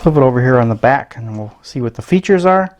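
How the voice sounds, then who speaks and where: A man's voice: mid-range voice, expressive, fast, a little mellow. American man, casual review, noisy recording. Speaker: gadget reviewer. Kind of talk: casual unscripted product walkthrough. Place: small indoor room at a tabletop. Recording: clear background noise with clicks.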